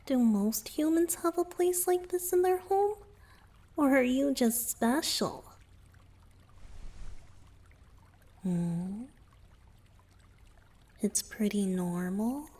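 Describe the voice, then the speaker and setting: A woman voicing a gentle, playful young merman boyfriend in a romantic ASMR roleplay, soft and breathy, often near a whisper, tender and softly teasing. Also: high voice, expressive, takes it slowly, long pauses, exaggerated voice. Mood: wistful, curious.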